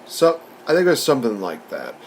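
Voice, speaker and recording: Casting: male podcast co-host, average pitch, informal conversational delivery, slightly rambling and colloquial. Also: wildly swooping pitch, brisk, husky. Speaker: podcast co-host reviewing films. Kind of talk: casual, conversational film discussion.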